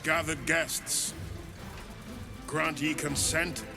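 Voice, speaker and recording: Formal fantasy game officiant, slow proclaiming, solemn, music behind. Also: male voice, fairly high pitch, little pitch movement, vocal fry, full character voice. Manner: formal, stately, declamatory. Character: solemn male ceremonial officiant of a city council. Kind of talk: dramatic video game acting, archaic oath.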